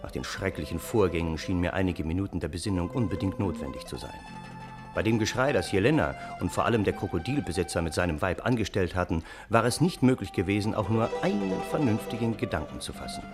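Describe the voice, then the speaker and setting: An actor in a German radio play playing an earnest, anxious gentleman, speaking formally and urgently. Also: low-pitched, lively intonation, fast talker, over music. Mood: measured narrating. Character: earnest, anxious gentleman narrator pleading for his trapped friend.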